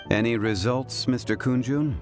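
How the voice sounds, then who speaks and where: A man voicing a calm, dignified, wise gentleman in a video game, speaking in a measured and formal way. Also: mid-range voice, over music, lightly acted. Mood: composed.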